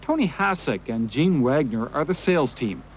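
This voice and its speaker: A man narrating a television news report in a measured broadcast style. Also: medium pitch, very animated. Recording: slightly dull broadcast audio with faint noise.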